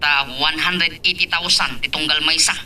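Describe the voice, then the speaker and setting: A man's voice: a little high, fairly even intonation, normal speed, pushed and bright, recorded from a distance. A man playing a jovial workmate in an Ilocano audio drama. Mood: gleeful.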